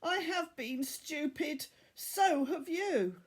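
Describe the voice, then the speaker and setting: A woman's voice: high voice, expressive, slow pace, pitched above the performer's own voice. A woman voicing a kind, remorseful captive boy in a children's audiobook, earnest and pleading in a formal way. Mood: urgent.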